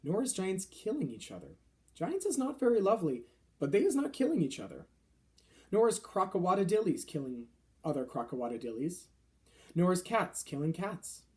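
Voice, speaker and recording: A man voicing a big, friendly, gently indignant giant from a children's storybook, speaking in quaint broken grammar with mangled words. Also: sits high, very animated, moderate pace. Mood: earnest, matter-of-fact.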